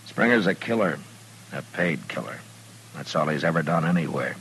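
A man acting a steady, dry frontier lawman with an American accent in an old radio western, speaking tersely on a noisy vintage recording. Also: extremely low pitch, normal speed, husky, exaggerated voice. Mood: stern, calm. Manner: terse, deadpan, laconic.

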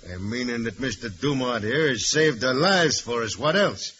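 A man playing a sly, calculating convict who talks with drawn-out sardonic mockery in an old radio crime drama. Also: mid-range voice, lively intonation, a bit bright, natural voice. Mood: mocking, calculating. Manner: slow, mocking, drawn-out delivery. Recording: dull, noisy vintage radio recording.

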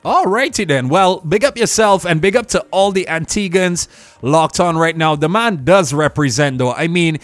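A man's voice: fairly high pitch, lively intonation, moderate pace, no echo. A man hosting a casual radio interview in an upbeat, informal, affirming way.

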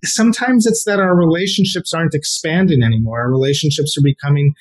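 A man's voice: on the high side, quick pace. A man answering interview questions, explaining at length with frequent repeated words and fillers. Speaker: sex therapist guest.

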